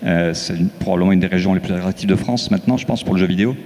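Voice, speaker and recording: A man's voice: low-pitched, rapid-fire, warm tone. A man speaking as a panelist on a conference stage, explaining fluently. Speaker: studio co-founder, panelist. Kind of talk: panel discussion. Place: conference stage in a large hall. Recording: reverberant hall.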